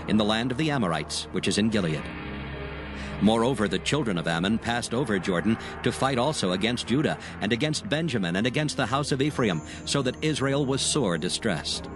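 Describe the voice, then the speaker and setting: A man narrating a dramatized Bible in a solemn, measured storytelling style. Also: medium pitch, expressive. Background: background music.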